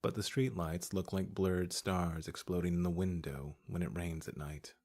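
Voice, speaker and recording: A man's voice: low voice, fairly even intonation, normal speed, a little mellow. A man working as a voice-over artist, reading scripted literary narration.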